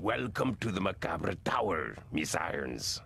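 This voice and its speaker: A man playing a menacing, smug crime boss in a video game, slow and mock-polite as he threatens. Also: low voice, sing-song, gravelly, very noisy, heavily acted. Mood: smug menace. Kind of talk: video game cutscene acting.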